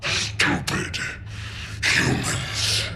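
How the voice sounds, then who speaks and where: An actor playing a brutal, arrogant gorilla warlord king in a video game, booming and guttural, with menacing, imperious delivery. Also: drawn-out words, slight background noise, exaggerated voice. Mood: contemptuous. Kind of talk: video game cutscene acting. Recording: loud cinematic music behind.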